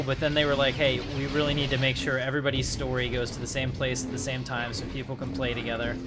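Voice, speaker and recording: Man, game streamer, casual rambling commentary, medium pitch, loud background game music and effects. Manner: rambling, conversational. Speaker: game streamer, channel host. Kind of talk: casual live gameplay commentary.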